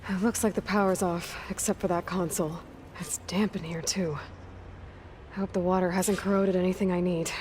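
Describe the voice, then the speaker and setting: A woman's voice: lowish voice, fairly even intonation, normal speed, a little hiss or hum, close to an everyday voice. An actress playing a determined, defiant young female adventurer in a video game, with an American accent and terse delivery, often muttering to herself. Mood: focused. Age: young adult. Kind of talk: naturalistic video game voice acting. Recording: loud music behind. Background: loud game music.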